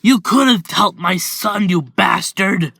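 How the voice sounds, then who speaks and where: A man voicing an angry, grieving father who shouts in fury. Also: mid-range voice, slow pace, no echo, clean studio sound. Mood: furious. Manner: shouting, furious.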